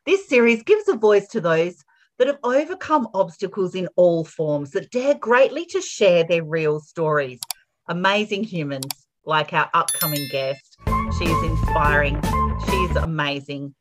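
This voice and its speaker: A woman's voice: fairly low pitch, expressive, a little slow, over music. A woman hosting an interview podcast, effusive and emphatic in her praise of the guest. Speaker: podcast host.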